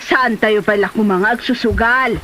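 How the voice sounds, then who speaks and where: A woman's voice: medium pitch, normal speed, bright, forceful tone, a little hiss or hum, natural voice, rough recording. A woman acting a gambling-loving mother in an Ilocano comedy drama. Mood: chatty.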